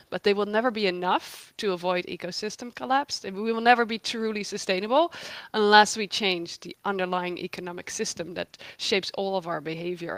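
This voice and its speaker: A woman from the Netherlands speaks as an interview guest with a mid-pitched voice. She explains things in a conversational, thoughtful way with firm emphasis, and the recording is dry, with very little room sound. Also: a bit bright, dry recording. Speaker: guest, economist and researcher.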